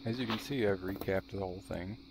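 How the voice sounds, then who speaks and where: A man's voice: low voice, fast, background noise. A man narrating a how-to demonstration in a dry, matter-of-fact, wry way. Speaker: video host showing a restored vintage radio.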